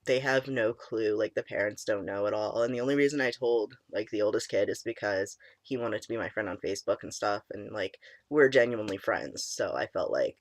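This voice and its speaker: A young man in casual chat, his voice cracking and squeaky, deep and stuffy from a cold, rambling with many ums and likes. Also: fairly quick, few pauses, dry recording. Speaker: vlogger. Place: small room indoors.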